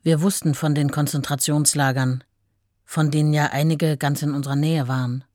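A woman's voice: deep voice, steady tone, normal speed, darkish tone, dry recording, lightly acted. A devoted, long-suffering wife in a radio play , played by an actress, speaking close and very dry. She looks back plainly, without fuss, in a matter-of-fact monologue. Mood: sober.

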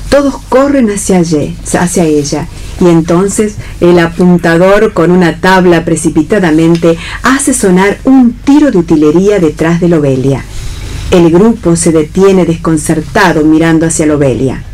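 A woman's voice: lowish voice, expressive, moderate pace, mellow, a little hiss or hum, rough recording. A woman reading stage directions as narrator of a radio play. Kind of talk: read narration for radio theatre.

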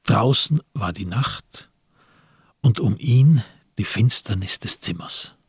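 A man narrates a literary radio drama slowly and deliberately. His voice is low and very husky with gravel in it, captured dry and close in a studio. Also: animated, no room sound.

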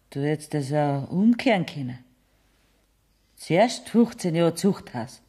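A woman's voice: deep voice, warm tone. An actress playing a bitter peasant mother in a radio play, with a Bavarian accent and a blunt, sardonic, accusing manner. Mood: accusing sarcasm. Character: bitter, mocking peasant mother of a condemned outlaw.

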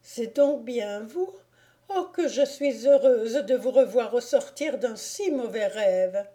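A woman's voice: on the high side, expressive, takes it slowly, warm tone, voice pushed up. A woman voicing a coy married lady who plays innocent and wronged in an affected manner, in a storybook reading. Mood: swooning, relieved.

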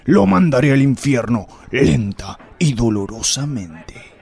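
A man's voice: mid-range voice, very animated, takes it slowly, gravelly, a little mellow, slightly rough, full character voice. A man playing a crude, boastful, corrupt police inspector in a radio drama, with a Rioplatense accent. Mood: melodramatic. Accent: Rioplatense Spanish. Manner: blustering, melodramatic, vulgar outbursts. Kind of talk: amateur radio drama acting.

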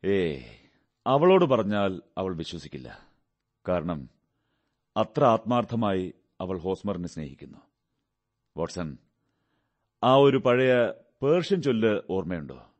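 An actor playing a shrewd, commanding male detective in a Malayalam radio drama, speaking in a measured, deliberate way. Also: lowish voice, a little mellow, highs cut off. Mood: reflective.

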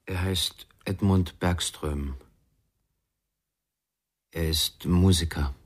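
A deep-voiced, guarded investigator. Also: male voice, steady tone, very slow, husky, heavily acted. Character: terse, guarded male investigator seeking a missing musician. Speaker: radio drama actor. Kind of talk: 1990s German radio crime drama acting.

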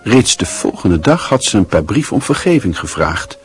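Radio play narrator, very low voice, lively intonation, Netherlands Dutch accent, music behind. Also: male voice, moderate pace. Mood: narrating. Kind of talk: scripted radio drama narration.

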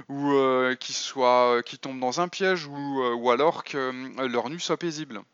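A man talks through his thoughts at a middle pitch, pausing often on fillers and restarting his phrases. The sound is dry, with no room in it, and a little muffled at the top. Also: a bit bright, clear, resonant tone, dry recording. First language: French. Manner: hesitant, many fillers and restarts. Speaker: podcast host. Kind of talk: casual spoken essay on role-playing.

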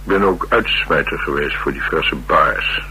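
A very deep-voiced, laconic drifter. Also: male voice, a little slow, sharp tone, exaggerated voice, low-quality recording. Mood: wry, nonchalant. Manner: terse, dry, nonchalant, slangy. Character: rough, laconic drifter, ex-mechanic and hard drinker. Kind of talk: naturalistic Dutch radio drama acting. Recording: radio recording with background hiss and hum.

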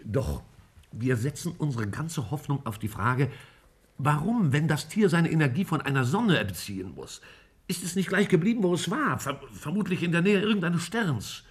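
A man acting an excitable, sceptical scientist in a 1950s German radio play, with a wry, theatrical manner. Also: on the high side, moderate pace, close to an everyday voice. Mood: wry, questioning. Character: sceptical but excitable male scientist leading a debate.

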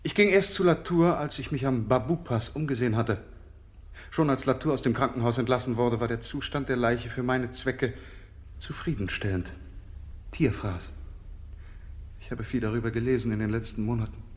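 Guarded, calculating suspect, mid-pitched male actor. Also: moderate pace, natural voice. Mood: confessing, queasy. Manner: curt, dismissive, guarded. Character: calculating male murder suspect, evasive and defensive under questioning. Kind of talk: German radio crime drama acting.

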